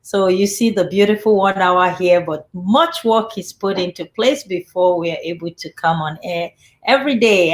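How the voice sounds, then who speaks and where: Chatty woman hosting an online show. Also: medium pitch. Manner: chatty and upbeat, with fillers. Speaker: talk show host. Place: home studio in Canada.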